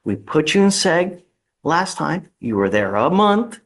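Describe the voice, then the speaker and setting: A male judge speaking from the bench in a courtroom. His voice sits a little high, and he talks in firm, curt statements. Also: big pitch swings, unhurried. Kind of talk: ruling from the bench.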